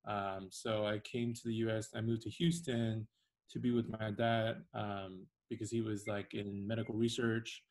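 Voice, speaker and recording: A young man speaks in a soft, rambling way as a podcast guest. His voice sits low, and he often restarts his sentences. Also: level tone, normal speed. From China. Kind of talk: casual interview.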